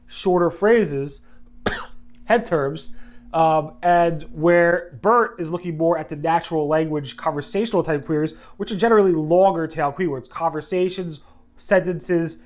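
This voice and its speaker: A man's voice: on the high side, darkish tone. Congested man hosting a news recap. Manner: rambling, self-correcting, quick asides. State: congested from a cold. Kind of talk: casual video news recap.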